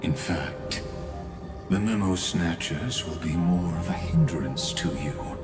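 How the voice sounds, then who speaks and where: A cold, calculating male villain from a video game. His voice is very deep and unhurried, with a measured menace. It echoes over prominent music. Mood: coldly condescending. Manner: measured, deliberate, ominous. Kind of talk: scripted game voice acting.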